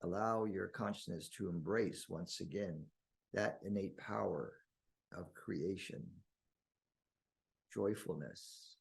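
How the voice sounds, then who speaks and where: A man leading a guided meditation in slow, deliberate phrases with long pauses and a husky, gravelly voice. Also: deep voice.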